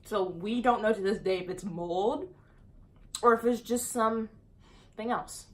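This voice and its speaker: A woman's voice: mid-range voice, unhurried. Chatty young woman telling a story. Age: young adult. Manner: chatty and animated, says "dude" and "exactly" often. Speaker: vlogger. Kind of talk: casual storytime chat.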